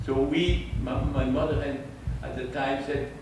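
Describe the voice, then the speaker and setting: A man's voice: mid-range voice, fairly even intonation, a little slow. Older man with a Belgian accent, echoey room. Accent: Belgian Dutch-French. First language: French. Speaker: eyewitness speaker. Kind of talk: storytelling talk to an audience. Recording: distant mic, echoey room, audible noise.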